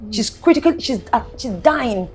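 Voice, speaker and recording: A woman's voice: a little high, expressive, moderate pace, darkish tone, slightly rough, soft background music. An actress with a Nigerian accent playing a haughty, scheming, hot-tempered young heiress in a film drama, emphatic and repeating her phrases. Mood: theatrically distressed. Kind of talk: melodramatic film acting.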